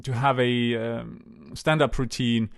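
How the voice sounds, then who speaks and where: Man, mid-pitched voice, casual talk, halting delivery, restarts often, relaxed storyteller. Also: gravelly. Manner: hesitant, many fillers and restarts. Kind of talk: casual conversation.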